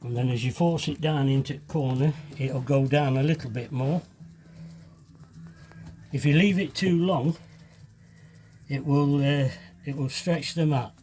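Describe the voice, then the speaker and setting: A man's voice: mid-range voice, steady tone, measured pace, pauses often, mellow, a little hiss or hum. A man giving a casual hands-on DIY tutorial, chatty and self-deprecating as he thinks aloud while working, slightly sniffly with a cold. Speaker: DIY video maker demonstrating fibreglassing.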